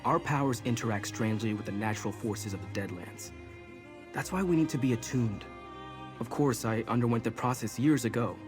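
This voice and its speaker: An actor playing an earnest, steady male mage in a fantasy game, explaining things calmly to companions. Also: medium pitch, brisk, over music, close to an everyday voice. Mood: earnest, reassuring.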